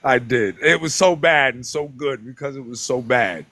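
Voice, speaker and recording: A man's voice: mid-range voice, moderate pace, fairly bright tone, dry recording. A man, a guest on a live stream, talking bluntly and emphatically, swearing and tagging his points with "right?". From Detroit, United States. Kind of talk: casual live-stream conversation.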